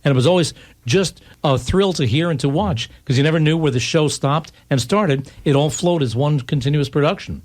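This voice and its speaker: A man's voice: a little high, brisk, a little mellow. A man hosting a live radio interview, talking in a casual, chatty, folksy way. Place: radio station studio.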